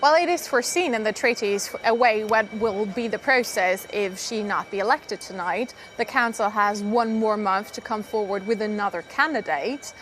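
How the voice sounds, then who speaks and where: A woman on a live televised debate panel, explaining in a measured, step-by-step way. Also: medium pitch, fairly bright tone, slight background noise, poor audio. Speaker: politician in a studio debate.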